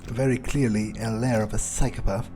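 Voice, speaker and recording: A man's voice: lowish voice, fairly even intonation, moderate pace, dark tone, rough recording. A man giving in-character gameplay commentary, musing aloud in a sardonic manner. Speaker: gamer playing in character. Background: game music.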